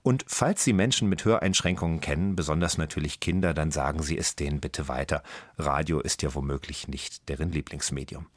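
A male radio presenter reading announcements and introductions in a clear, measured way. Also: very deep voice, dry recording.

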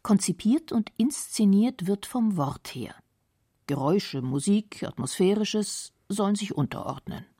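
A woman reading narration for a radio documentary feature in a calm, precise way. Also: medium pitch, measured pace, breathy edge, dry recording, studio quality.

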